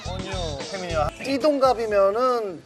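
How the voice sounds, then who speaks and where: A man's voice: sits high, expressive, moderate pace. A man hosting a talk show with casual, joking, chatty banter. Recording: music playing behind. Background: music.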